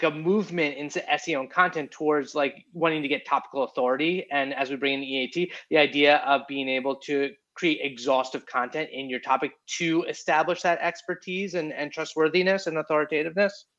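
A man interviewing in a casual conversation, relaxed and leaning heavily on "like". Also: a little high, a little fast, muffled recording. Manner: relaxed, heavy use of "like" filler.

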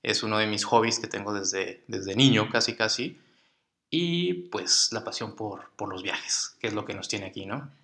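An easygoing Mexican man with a bright, clear tone, co-hosting a podcast in relaxed chat. His phrases often trail off softly at the end. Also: on the low side, moderate pace. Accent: Mexican Spanish. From Mexico (Guadalajara). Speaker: podcast co-host. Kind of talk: casual chat.

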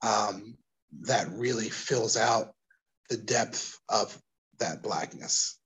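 A man from Brooklyn with a New York accent, speaking thoughtfully as an interview guest, pausing and restarting as he works through his ideas. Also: lowish voice, husky, low-quality recording. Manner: thoughtful, hesitant, with restarts and soft laughs. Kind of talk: casual interview conversation.

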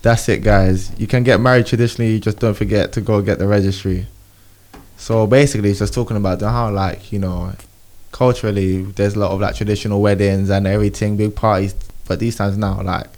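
A young man talks easily in a relaxed group chat. His voice sits fairly low, and he drifts through fillers and slang without hurrying. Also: a little fast. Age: young adult. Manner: laid-back, slangy, trailing fillers.

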